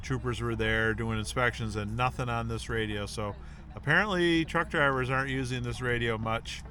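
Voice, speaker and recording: Guy in his 50s just chatting for a vlog, plain informal talk, clear background noise from cars and road. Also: mid-range voice, normal speed, bright, forceful tone. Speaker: vlog host. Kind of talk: casual vlog talk to camera. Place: outdoors by a driveway and inside a car.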